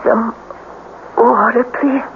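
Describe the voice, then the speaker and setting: High, slow, haunted young woman. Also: steady tone, gravelly, phone-quality sound. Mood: weary. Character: frail, haunted, fearful young woman in love. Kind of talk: old-time radio drama acting. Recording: thin, noisy old radio broadcast.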